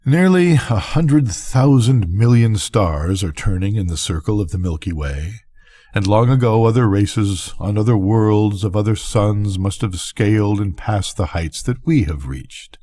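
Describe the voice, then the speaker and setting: An older man reading a bedtime story as scripted narration, with measured, deliberate phrasing. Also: on the low side, mellow. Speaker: reader of bedtime stories.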